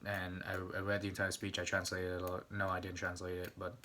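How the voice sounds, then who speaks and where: A man's voice: deep voice, monotone, a little fast, pushed and bright, a little hiss or hum. A young man rambling casually, swearing often and stumbling over restarts.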